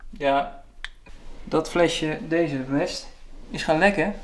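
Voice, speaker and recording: A man's voice: fairly high pitch, slow pace, slight background noise. Upbeat young Dutch man vlogging. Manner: chatty, jokey, narrates while hiking. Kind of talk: casual vlog narration.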